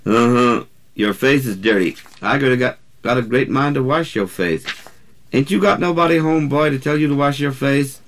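A man reads the part of a big, no-nonsense motherly woman from a short story. The voice is gravelly and fairly low, scolding firmly in folksy, down-home phrasing. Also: animated, normal speed, fairly bright tone. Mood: stern, firm. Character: large, stern, no-nonsense motherly older city woman.

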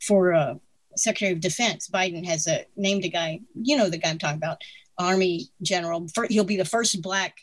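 A woman in her sixties giving strong opinions in casual conversation, animated and laughing between points. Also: on the low side, fairly quick, no room sound. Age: 60s.

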